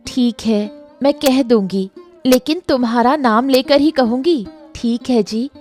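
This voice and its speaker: A woman playing a gentle, dutiful young wife in an acted story, speaking softly and deferentially to her husband. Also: fairly high pitch, measured pace, soft background music, lightly acted. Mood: pleased, dutiful. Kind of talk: acted Hindi audio story dialogue.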